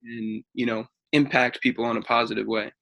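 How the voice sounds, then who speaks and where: Mid-20s male athletic trainer, casual podcast talk, sharp but level delivery. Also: medium pitch, fairly even intonation, fairly quick, creaky, rough recording.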